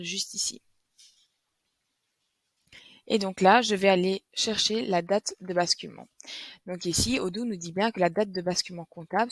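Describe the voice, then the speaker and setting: A woman presenting a software tutorial, explaining step by step in a somewhat hesitant, instructional way. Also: on the low side, vocal fry, no echo. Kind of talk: screen tutorial, semi-scripted explanation.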